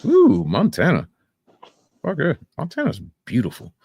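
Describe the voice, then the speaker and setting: A man's voice: fairly high pitch, wildly swooping pitch, measured pace, a little mellow, husky, no echo, clean studio sound. A man hosting a livestream with casual reaction commentary, mocking, incredulous and profane in his delivery. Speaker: livestream host.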